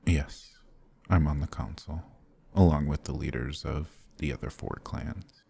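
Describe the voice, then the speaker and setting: A man voicing a courtly, gentle vampire lord in a fantasy ASMR roleplay, speaking in a formal, measured, soft-spoken way. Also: exaggerated voice. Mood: solemn. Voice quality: husky, low.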